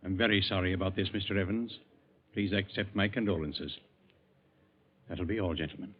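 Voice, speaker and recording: An actor playing a formal, authoritative ship's captain in a 1940s radio drama, speaking in an official, measured manner. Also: male voice, sits low, fairly even intonation, natural voice. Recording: tinny vintage radio broadcast.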